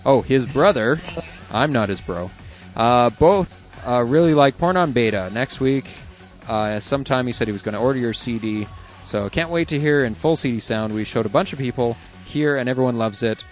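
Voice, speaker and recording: A man's voice: mid-range voice, moderate pace. Laid-back man reading listener emails. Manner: reads emails aloud with chuckles and asides. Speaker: internet radio co-host reading listener emails. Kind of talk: casual on-air chat. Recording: dull lo-fi audio with faint music.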